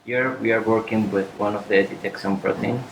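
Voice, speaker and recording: A man's voice: lowish voice, steady tone, brisk, vocal fry, roomy sound, background noise. A man student demonstrating a lab experiment, explaining with some hesitation.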